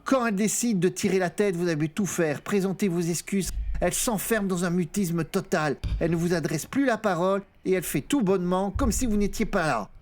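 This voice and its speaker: A man's voice: high voice, brisk, hardly any pauses, a little mellow. A man narrating an audiobook, telling a first-person horror story in a dramatic style.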